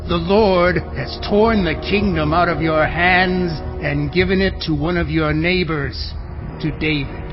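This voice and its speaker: A man playing the ghost of a stern, reproachful old prophet in an audio Bible drama, pronouncing judgment slowly and solemnly. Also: a little high, steady tone, natural voice. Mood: stern, condemning. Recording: muffled dramatized recording under clear music.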